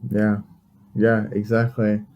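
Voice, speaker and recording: A man with an Australian accent hosting a casual podcast interview, relaxed and encouraging. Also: lowish voice, highs cut off. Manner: relaxed, encouraging, quick asides. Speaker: podcast host.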